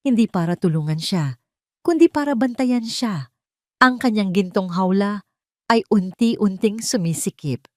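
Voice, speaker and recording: A woman reading a dramatic scripted story in a deliberate, suspenseful way. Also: mid-range voice, animated, darkish tone, no echo, clean studio sound. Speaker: audio story narrator.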